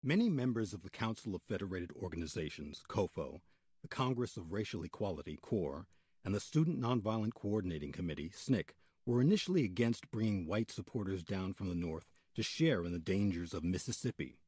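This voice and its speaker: A man narrating a scripted radio documentary as its host. His voice is lowish and husky, with lively intonation and deliberate dramatic emphasis. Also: dry recording.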